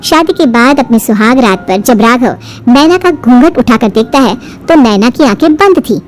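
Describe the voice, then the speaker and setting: Woman, scripted story narration, high pitch, swooping intonation, soft music underneath. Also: lively intonation, normal speed.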